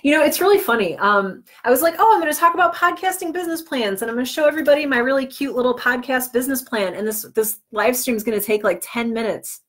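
A woman's voice: high voice, fast, hardly any pauses. A woman presents an informal tutorial to live viewers. She talks in a chatty way, breaking off into self-deprecating asides. She is slightly under the weather as she speaks. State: under the weather with cold or flu, on medication. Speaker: livestream host teaching podcast business planning.

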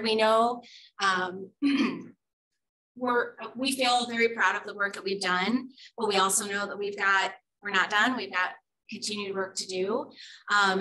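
A woman presenting program data at a school board meeting, steady and measured, with frequent ums. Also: medium pitch, low-quality recording. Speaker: school district English learner program presenter.

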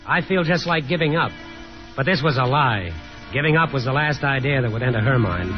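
Casting: man to play sardonic young adult son, fairly high male voice, dry and wry, 1950s radio drama. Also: natural voice. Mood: reflective narration. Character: sardonic, restless young son and brother, narrating. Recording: dull 1950s radio broadcast.

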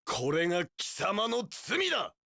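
A vengeful young samurai warlord for an action game. His voice is harsh, gravelly and very forceful. He draws his words out slowly and theatrically, seething with fanatical loyalty. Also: high voice, huge pitch swings, dry recording, clean studio sound, exaggerated voice. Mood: furious. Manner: seething, theatrical, bursting into screams. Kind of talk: anime-style action game voice acting.

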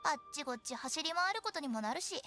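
A very high, youthful girl's voice for an anime game, playing a playful funeral parlor director. She sells with pushy, persuasive patter and swings widely in pitch, over clear background music. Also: fairly quick. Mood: confident, explaining. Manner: animated, persuasive salesperson patter. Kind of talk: Japanese anime game voice acting.

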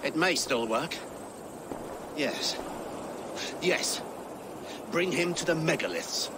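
A man's voice: a little high, expressive, slow, noisy background. An actor playing a scheming, fussy older English churchman in a video game, talking in a wry, self-important, theatrical way. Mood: wary, calculating. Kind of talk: video game cutscene acting.

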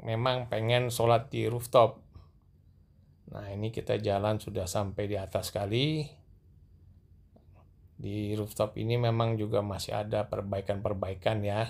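A middle-aged man narrating a walking tour through a large mosque and onto its rooftop at night, gently addressing his viewers as he films. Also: on the low side, gravelly. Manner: gentle, repeatedly addressing viewers as sir and madam. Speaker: vlogger guiding viewers. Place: large mosque, indoor escalators then open rooftop at night.

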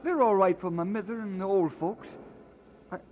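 High-voiced earnest young Scotsman, played by a man. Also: normal speed, a little mellow, a little hiss or hum, close to an everyday voice. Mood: friendly, reserved. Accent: Scottish. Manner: hesitant, sincere. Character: earnest, modest young Scottish scout leader. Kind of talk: old radio drama acting.

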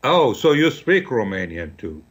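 A man's voice: medium pitch, big pitch swings, a little slow. A man hosting a radio interview, asking questions that he rephrases as he goes. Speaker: radio host.

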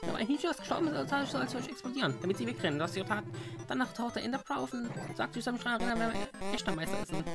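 A woman voicing a childlike, naive monster in an animated fan dub, rambling and pleading. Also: mid-range voice, slow pace, vocal fry, over loud music, full character voice. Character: excitable, childlike green exploding monster, naive and apologetic.

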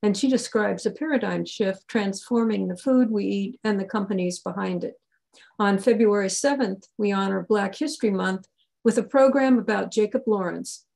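Creaky-voiced woman in her sixties. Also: medium pitch, a little mellow, low-quality recording. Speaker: event organizer giving closing remarks. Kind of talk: formal announcements, partly read. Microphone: video call computer microphone.

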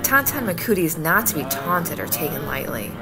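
A haughty female cultist played by a woman speaks in a lowish voice with big melodic swings. She is devout and menacing, declaiming formally over music in an old-style radio play. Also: sing-song, fairly quick. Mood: stern, threatening. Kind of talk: old-time radio horror drama.

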